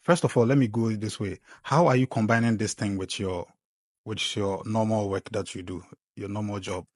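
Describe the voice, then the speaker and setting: A man hosting a casual podcast, relaxed, trailing off quietly and filling with okays and ums. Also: lowish voice, moderate pace, no echo, clean studio sound. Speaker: podcast host.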